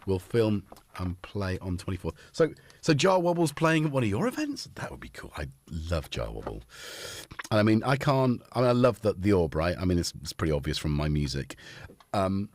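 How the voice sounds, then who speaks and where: A man chats his way through a livestream from his home studio, jokey and easily sidetracked. His pitch swings around a lot. The sound is close and dry with no echo. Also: sing-song, moderate pace, a little mellow. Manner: rambling, self-interrupting, jokey. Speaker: livestream host. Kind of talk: casual livestream chat.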